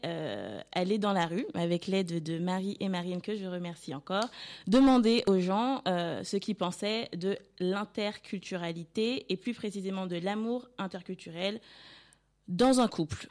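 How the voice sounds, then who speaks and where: A young woman hosts a student radio segment, setting out definitions before going out to interview people. Her delivery is hesitant and full of small fillers, with a middle-pitched voice. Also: darkish tone.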